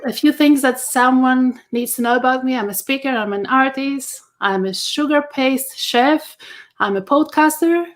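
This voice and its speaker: A woman's voice: high-pitched, moderate pace. A woman from Greece, a workshop speaker introducing herself cheerfully on a video call. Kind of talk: online interview.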